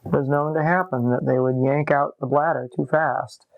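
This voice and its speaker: A man's voice: mid-range voice, steady tone, vocal fry, no echo, dull audio. A man in his late forties with a scratchy voice, unhurriedly telling his life story in an interview. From Massachusetts. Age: 40s. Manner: unhurried, reflective, with pauses. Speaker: interviewee, musician. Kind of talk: relaxed conversational storytelling. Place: upstairs room of an apartment, at a desk.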